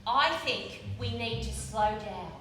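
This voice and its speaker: A woman playing a cautious, worried bookkeeper in a stage radio play, measured and disapproving. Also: on the high side, noisy background. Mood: worried, reproving. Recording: echoey theatre with music underneath.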